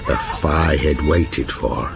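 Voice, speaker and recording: Low, brooding old ghostly man. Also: vocal fry, over music, heavily acted. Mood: menacing. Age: older. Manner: brooding, deliberate, with repeated broken phrases. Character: lonely, obsessive, sinister old railwayman ghost narrating his story. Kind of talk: radio drama monologue.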